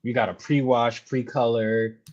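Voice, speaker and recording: Male, medium pitch, dull lo-fi recording; informal, sweary, meandering conversational delivery. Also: flat delivery, measured pace, a little mellow. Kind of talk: casual chat.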